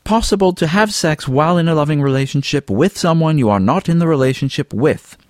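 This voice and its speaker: A man's voice: a little high, expressive, a little fast, no room sound, studio quality, pitched above the performer's own voice, close to an everyday voice. A man voicing a vain, flirtatious, theatrical male weasel in an audiobook, haughty and florid in manner. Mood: lofty, lecturing. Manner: haughty, florid, wordy, drawling endearments. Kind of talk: audiobook character dialogue.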